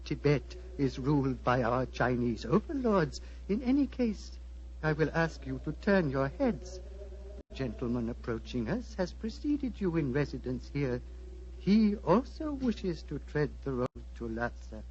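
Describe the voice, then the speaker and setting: Aged monastery abbot, paternal, formal, lilting highish voice, muffled hissy old radio. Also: male voice, big pitch swings, a little slow, darkish tone. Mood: courteous, grave. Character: grave, courteous old Tibetan abbot. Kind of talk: 1940s radio acting. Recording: muffled, noisy old 1940s radio broadcast.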